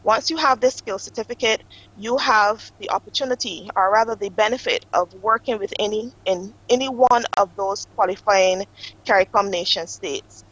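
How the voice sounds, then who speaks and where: A woman's voice: mid-range voice, moderate pace. A woman with a mid-range voice explains an official application process on a morning TV show. She speaks steadily and informatively, and the broadcast audio is a little muffled. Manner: steady, informative, self-correcting. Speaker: guest from an accreditation office. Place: TV studio.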